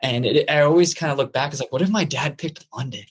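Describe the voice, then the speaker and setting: A man's voice: a little high, fast talker, husky. Thoughtful stammering man, Peru-born. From Peru, raised in Florida. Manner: thoughtful, stammers on starts of sentences. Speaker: podcast guest. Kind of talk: casual chat.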